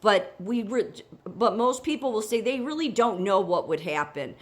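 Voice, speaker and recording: A woman's voice: mid-range voice, animated, normal speed. A woman with a cold and a sniffle, chatting casually about the news and reading an article aloud, with many restarts and asides. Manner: chatty, frequent restarts and asides, asks "okay?". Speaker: political commentator.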